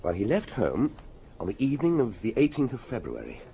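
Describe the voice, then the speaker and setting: Young detective sidekick in a radio play, polite, bit wry, super expressive pitch. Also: male voice, a little fast, gravelly, slight background noise. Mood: inquiring. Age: young adult. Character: polite, good-humoured young police inspector sidekick. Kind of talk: radio drama acting.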